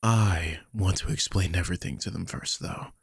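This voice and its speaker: A man's voice: deep voice, normal speed, no room sound, clean studio sound, full character voice. A man playing a worried, guilty vampire king who is protective of his charge, confiding wearily in an audio roleplay. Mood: worried, urgent. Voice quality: husky, breathy. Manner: confiding, weary, sighing, swears. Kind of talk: dramatic audio roleplay, one side of a conversation.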